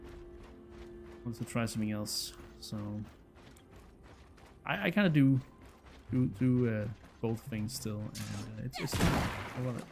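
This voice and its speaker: A man's voice: mid-range voice, animated, a little slow, pauses often, music in the background. A man in his thirties from Denmark, a native Danish speaker with a Danish accent, casually chatting with viewers as a game streamer, hesitant and often repeating words. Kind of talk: casual chat with viewers while playing.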